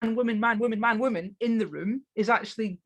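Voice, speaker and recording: A woman's voice: a little high, a little fast, soft background music. A woman guest in a casual interview, talking with enthusiasm and often restarting her sentences. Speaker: guest on spiritual practice.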